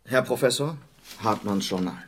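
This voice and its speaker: An actor playing an obedient but defiant manservant in a radio play, announcing loudly with a voice that tips upward. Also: male voice, fairly low pitch, moderate pace, slight background noise, close to an everyday voice. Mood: dutiful announcing. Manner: loud, reluctant military-style announcing, voice cracking upward.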